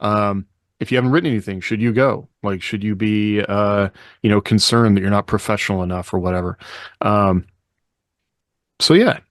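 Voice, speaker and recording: Low-voiced man hosting a casual podcast. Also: fairly even intonation, normal speed, no room sound, clean studio sound. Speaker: podcast host. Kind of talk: casual panel podcast chat.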